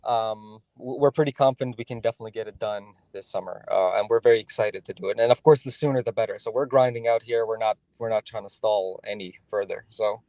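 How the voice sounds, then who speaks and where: A man speaking as a guest, explaining his team's work in a halting way with many restarts and fillers. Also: lowish voice, mellow, no echo, rough recording. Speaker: guest developer. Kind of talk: casual conversational interview.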